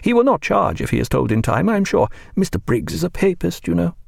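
A man voicing a domineering, meddling older woman who talks in a shrill, overbearing, lecturing way. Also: sing-song, fairly quick, creaky, dry recording, studio quality, heavily acted. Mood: imperious. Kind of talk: audiobook character voice.

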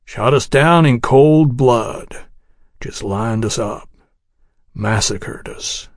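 A man's voice: mid-range voice, extremely slow, dry recording. A man voicing a grim, weary, menacing in an audiobook, with a dry, toneless, terse delivery. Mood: bitter, grim.

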